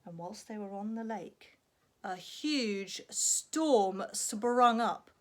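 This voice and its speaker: A woman telling a story to young children in an animated, expressive way, prompting with questions and acting out sound effects. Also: medium pitch, extremely slow.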